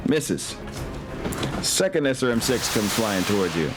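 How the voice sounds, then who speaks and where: A man's voice: fairly low pitch, big pitch swings, unhurried. A man acting as game master of a tabletop wargame, talking casually and thinking aloud as he tallies rolls, with music behind him.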